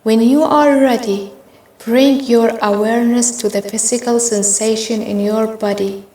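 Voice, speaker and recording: A woman narrating a guided meditation in a gentle, measured, instructional way. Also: medium pitch. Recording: roomy, echoing voice recording.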